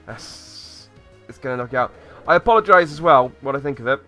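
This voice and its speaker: Slightly hoarse man, bright voice. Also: mid-range voice, animated, brisk, soft background music. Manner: chatty, rambling, thinking aloud. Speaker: let's play host. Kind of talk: casual gameplay commentary.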